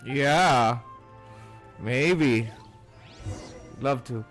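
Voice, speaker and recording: A man's voice: mid-range voice, very slow, a bit bright, faint music behind. A man chatting casually as a game streamer, easygoing, with muttered asides. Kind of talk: casual livestream chat.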